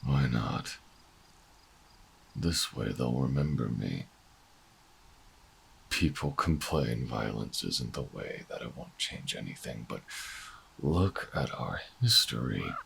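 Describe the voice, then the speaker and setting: Extremely deep, gravelly older revolutionary. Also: male voice, lively intonation, a bit bright, a little hiss or hum, full character voice. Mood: defiant, seething. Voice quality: very gravelly, husky. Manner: dramatic, brooding, halting monologue. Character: bitter older human revolutionary fugitive, defiant and theatrical. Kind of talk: audio roleplay drama acting.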